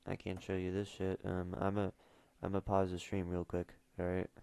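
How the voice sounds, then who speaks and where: A man's voice: low-pitched, completely flat delivery, normal speed, gravelly, darkish tone, clean tone, dry recording. A teenage boy streaming casually, mumbling and trailing off with fillers as he reads questions aloud. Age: teens. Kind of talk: casual livestream chat.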